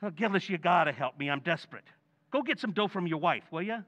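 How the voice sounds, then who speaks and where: A man acting a guilt-ridden working-class husband from an old-time radio comedy. His voice is high and bright, leaping up and down as he pleads and frets earnestly. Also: lively intonation, quick pace, highs cut off. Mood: worried, pleading.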